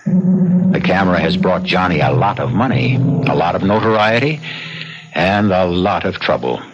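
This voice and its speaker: A man's voice: deep voice, big pitch swings, normal speed. A man narrating a 1970s radio mystery as host, in a grandiloquent, dramatic scripted style. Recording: dull old radio broadcast. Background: music.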